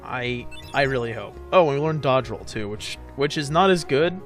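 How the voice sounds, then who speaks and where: A man's voice: mid-range voice, expressive, normal speed. A young man giving casual, explanatory commentary as he plays a video game. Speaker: gamer hosting a let's play. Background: game music.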